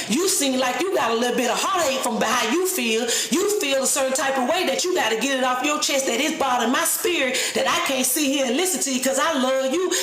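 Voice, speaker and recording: A woman's voice: high voice, a bit bright, roomy sound. A woman in her late thirties giving a blunt, profane, streetwise talk straight to the camera, preaching about people who play games. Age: 30s. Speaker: vlogger. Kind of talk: unscripted personal rant.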